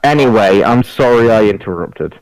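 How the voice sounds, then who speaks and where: A young man in casual podcast chat, speaking earnestly and haltingly as he searches for words. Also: on the low side, lively intonation, a little mellow, no room sound, rough recording. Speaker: podcast crew member. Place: online voice call.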